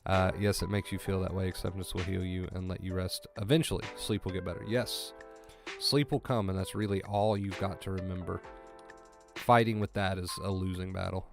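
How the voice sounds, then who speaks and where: A congested man with a somewhat low voice hosts a casual livestream, reading out chat messages and thanking viewers by name. He reassures his audience calmly, repeating key phrases and clearing his throat now and then. Music plays clearly beneath his voice. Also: fairly quick. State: stuffy nose, clears throat often. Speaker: livestream host coaching on anxiety. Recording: music clearly audible behind.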